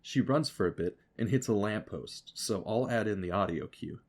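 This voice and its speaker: A man's voice: lowish voice, moderate pace, darkish tone, slight background noise. A man giving an explanatory tutorial voice-over as an audio producer, instructive and speaking directly to the listener.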